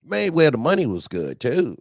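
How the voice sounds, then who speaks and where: A man's voice: on the high side, normal speed, vocal fry, dry recording, clean studio sound. A man hosting a casual call-in talk show, telling slangy anecdotes about trucking and acting out bits of dialogue. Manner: slangy, animated anecdote telling with acted-out quotes. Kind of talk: casual trucking chat and storytelling.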